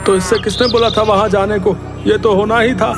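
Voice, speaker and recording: A man's voice: high-pitched, fairly even intonation, brisk, over music, lightly acted. A man voicing an exasperated, gossipy villager who scolds and warns emphatically in a storybook drama. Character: exasperated gossipy male villager warning of danger.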